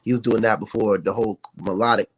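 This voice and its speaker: A man's voice: on the low side, steady tone, fast talker, dry recording. An American man, a rapper, talking casually as a podcast guest with slangy speech and frequent check-in tags. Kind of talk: casual interview chat.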